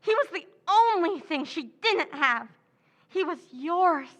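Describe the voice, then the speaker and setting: A high, bright voice carries a woman acting as a scheming sister in an old-time radio drama. She talks in a cold, sneering, cutting way. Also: expressive, slow pace, full character voice. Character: scheming, contemptuous younger sister, adult woman.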